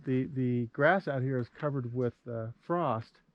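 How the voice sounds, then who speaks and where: A man's voice: mid-range voice, unhurried, no room sound, muffled recording. A man chatting casually and jokingly outdoors as a guest on a vlog. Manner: joking, informal. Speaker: hiking companion and guest. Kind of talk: casual chat. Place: outdoors in cold mountain country.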